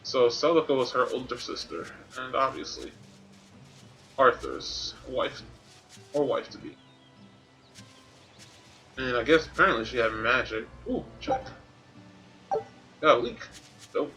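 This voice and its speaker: A man gives casual let's play commentary, thinking out loud in slangy phrases. His voice is medium in pitch with a bright, forceful edge. Game music runs underneath. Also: animated, a little slow, long pauses, poor audio. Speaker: gaming streamer.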